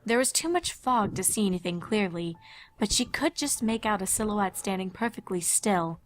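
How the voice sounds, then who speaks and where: A woman reading scripted dramatic story narration in a measured, expressive way. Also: medium pitch, noisy background.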